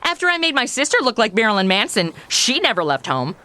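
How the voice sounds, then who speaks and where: Lady acting a sassy clueless young woman, high swoopy voice, flippant slangy comedy drama. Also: big pitch swings, quick pace, sharp tone. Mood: breezy, boastful. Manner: flippant modern slangy delivery. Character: chatty, oblivious, sassy modern young woman time-traveller.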